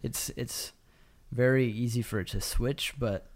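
A man's voice: mid-range voice, steady tone, unhurried. Earnest male podcast co-host giving advice. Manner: earnest advice with filler words and restarts. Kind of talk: casual podcast chat.

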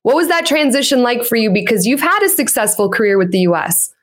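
A woman's voice: a little high, very animated, quick pace. A woman hosting a sports podcast, upbeat and teasing in casual interview chat. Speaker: podcast host.

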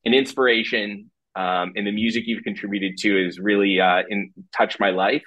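Male host from Canada, enthusiastic, warm-hearted fan energy, lowish pitch. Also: fairly even intonation, moderate pace, highs cut off. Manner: enthusiastic fan, laughs easily. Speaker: podcast host interviewer. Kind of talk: casual interview.

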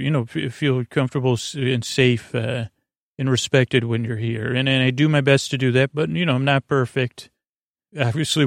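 A male podcast host with a very creaky, fry-laden voice at a middling pitch. He wanders through a bedtime monologue meant to send people to sleep, stopping and restarting with plenty of ums. The sound is close and dry, with no room around it. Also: dry recording. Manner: meandering, halting with many ums and repeated words. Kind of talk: rambling bedtime monologue to lull listeners to sleep.

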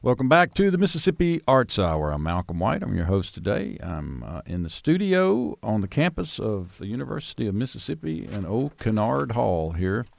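A man's voice: on the low side, sing-song, moderate pace, creaky, few pauses, dry recording. A man hosting an arts radio interview in a folksy, informal, conversational way. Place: university building studio.

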